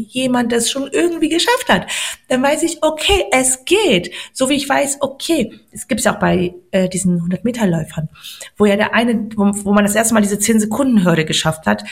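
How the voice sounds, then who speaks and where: A middle-aged woman from Poland, a guest on a podcast, talking in an earnest, encouraging way and slowing down to stress her points. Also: a little high, vocal fry. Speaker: podcast guest, money coach. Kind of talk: motivational podcast talk.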